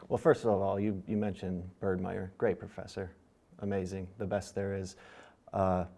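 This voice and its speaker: A middle-aged man from Michigan, answering and asking questions in a measured, deliberate way with filler pauses. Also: sits low, mellow. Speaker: returning student and interviewee. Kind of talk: casual interview chat.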